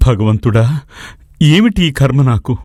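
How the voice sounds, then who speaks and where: A man acts out a maharaja in a storybook drama. He is a fatherly, careworn ruler who speaks formally and patiently, in a mid-pitched voice recorded close and dry. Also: animated, unhurried, mellow, dry recording. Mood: troubled, reasoning. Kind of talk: dramatized moral story dialogue.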